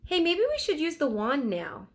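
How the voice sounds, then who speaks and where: A woman's voice: high-pitched, wildly swooping pitch, normal speed, voice pushed up, full character voice. A woman voicing an eager, impulsive young girl with a tender heart, speaking earnestly and insistently in a storybook reading. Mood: earnest, urging.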